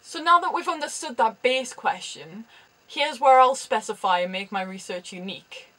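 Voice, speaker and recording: Young woman, Geordie accent, student vlogger, chatty explainer, dry wit, fairly high voice. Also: lively intonation, moderate pace, pushed and bright. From Newcastle, England. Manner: chatty, with dry humorous asides. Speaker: university student presenting research. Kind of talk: explanatory vlog narration.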